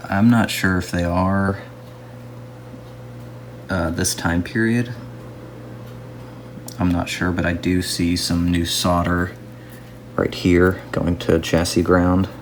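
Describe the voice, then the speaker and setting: A man narrating a casual hands-on restoration video as a hobbyist, musing aloud to himself in an unhurried way. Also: sits low, slight background noise.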